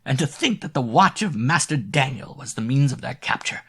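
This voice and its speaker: A man's voice: animated, moderate pace, sharp tone, breathy edge, full character voice. A man reading a storybook voicing a bossy, stout old female innkeeper who scolds and shrieks. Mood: gloating. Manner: shrill, scolding, screaming in panic.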